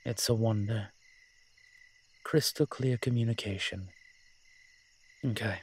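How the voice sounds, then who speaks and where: Guy doing a scheming playful demon dude for an audio rp, lowish voice, really takes his time, teasing. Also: darkish tone. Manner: sly, teasing, unhurried, conversational. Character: smooth-talking roguish male incubus demon, playful and scheming. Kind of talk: roleplay audio drama.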